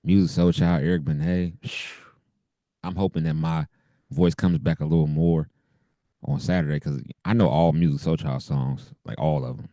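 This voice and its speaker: A man co-hosting a podcast, chatting casually with loose slang and frequent laughs, his deep voice hoarse from a cold. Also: steady tone, normal speed, dark tone, dry recording. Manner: laid-back, laughs often, loose slang. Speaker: podcast co-host. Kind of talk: casual chat.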